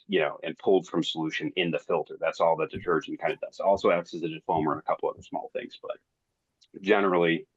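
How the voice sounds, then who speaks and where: A man's voice: low-pitched, slightly rough, dry recording. A man giving an informal technical explanation as someone who works in the field, on a conference call. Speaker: professional in the oil science field. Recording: dull, band-limited conference call audio.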